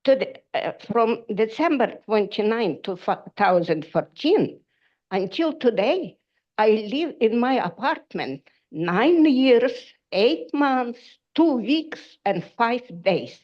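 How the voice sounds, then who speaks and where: An older woman with a Ukrainian accent, speaking halting broken English as a tenant at a public council meeting, pleading for help. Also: fairly high pitch, big pitch swings. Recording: muffled lo-fi meeting audio.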